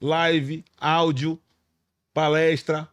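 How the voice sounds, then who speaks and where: A man's voice: on the high side, flat delivery, extremely slow, dry recording, clean studio sound. A man with a Bahian Brazilian accent hosting an informal podcast, speaking in a blunt, slangy, emphatic way. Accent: Bahian Brazilian Portuguese. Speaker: podcast host.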